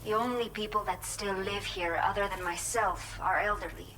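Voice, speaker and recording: A woman's voice: mid-range voice, steady tone, normal speed, sharp tone, a little hiss or hum, close to an everyday voice, rough recording. A woman playing a hopeful, caring settlement resident in a video game, explaining things earnestly. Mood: hopeful, informative. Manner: earnest, informative. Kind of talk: scripted game cutscene dialogue.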